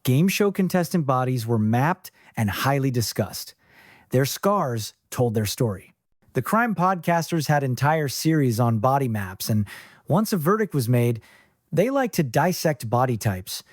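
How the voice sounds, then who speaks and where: Man narrating fiction with lively pitch. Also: unhurried, dry recording, clean studio sound. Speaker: audiobook narrator.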